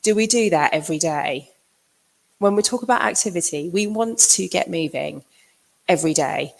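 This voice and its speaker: A middle-aged woman, husky from a cold, talks through a live stream as a fitness coach. Her voice sits low and turns gravelly at the ends of phrases. She chats along with frequent ums. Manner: chatty, fills pauses with um. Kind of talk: casual livestream talk.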